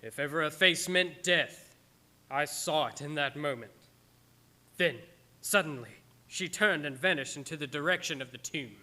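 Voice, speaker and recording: Haunted doctor-narrator played by a man: bright, forceful, slightly high male voice, earnest radio drama delivery. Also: normal speed. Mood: horrified recounting. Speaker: actor narrating in a radio play.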